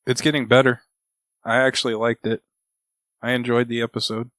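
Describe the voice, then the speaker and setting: A man's voice: mid-range voice, level tone, brisk, a bit bright, no room sound. A man co-hosting a podcast, retelling and reviewing casually with some swearing. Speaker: podcast co-host.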